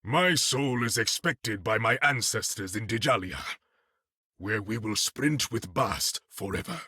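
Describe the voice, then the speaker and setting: African warrior king, game character, low voice, lively intonation, formal, proud, dignified. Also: slightly rough, no room sound, clean studio sound, full character voice. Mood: proud, solemn. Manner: formal, regal, measured phrasing. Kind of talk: video game character voice acting.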